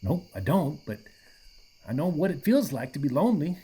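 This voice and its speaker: Man playing a husky, laid-back aging hippie. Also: on the high side, big pitch swings, moderate pace, mellow. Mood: gentle, sincere. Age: middle-aged. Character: mellow, apologetic aging hippie man, lonely and kindhearted. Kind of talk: radio play acting.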